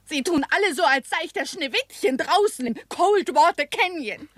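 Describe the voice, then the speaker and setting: High-voiced seductive film star, radio drama. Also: female voice, lively intonation, normal speed, no echo, studio quality. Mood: uneasy, probing. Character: seductive, lonely Hollywood film actress. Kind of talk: 1960s German radio crime drama acting. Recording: 1960s radio play with background noise.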